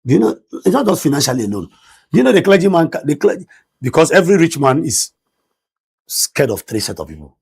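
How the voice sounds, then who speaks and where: A middle-aged Nigerian man with a Nigerian English accent and a loud voice, talking emphatically in a studio discussion. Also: fairly high pitch, quick pace, gravelly, husky. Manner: emphatic, excitable, rises sharply when stressing points. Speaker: discussion panelist.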